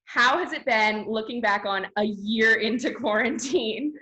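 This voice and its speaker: A woman's voice: fairly high pitch, measured pace, sharp tone. A woman hosting an entertainment interview, asking questions in a friendly, conversational way. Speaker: entertainment show host.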